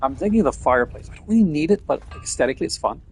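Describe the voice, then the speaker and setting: A man's voice: fairly high pitch, lively intonation, very fast, slightly rough, poor audio. A man with a Newfoundland accent, chatting casually and offhandedly as he streams a game. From Newfoundland, Canada. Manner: rambling, offhand, talks while playing. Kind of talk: casual gameplay live-stream chat.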